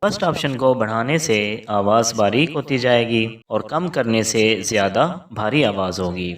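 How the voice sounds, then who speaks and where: A man's voice: lowish voice, expressive, normal speed, distant mic. A man narrating a scripted tutorial as host, giving formal step-by-step instructions.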